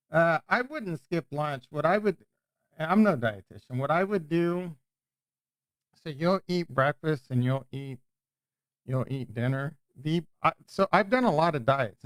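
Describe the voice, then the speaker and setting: Casual male streamer, smooth clear voice, dry close mic, rambling chat. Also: medium pitch, dry recording. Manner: rambling, self-correcting, chatty. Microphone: new desk microphone.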